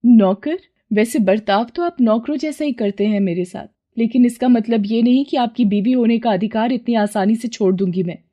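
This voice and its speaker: A woman voicing a humble, patient young wife from a poor family, speaking softly in a cartoon story. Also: a little high, moderate pace, few pauses, lightly acted. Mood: firm.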